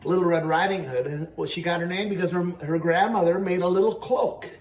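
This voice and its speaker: A man's voice: sits high, a little fast. A man hosting a children's storytime as a ventriloquist, narrating and explaining in a folksy, patient way. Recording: echoey room, distant mic, faint noise.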